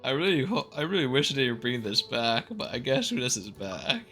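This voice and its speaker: A streamer with a bright, creaky voice in a middle register, swinging widely in pitch. The talk is casual, off-the-cuff game commentary. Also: sing-song, moderate pace, soft background music.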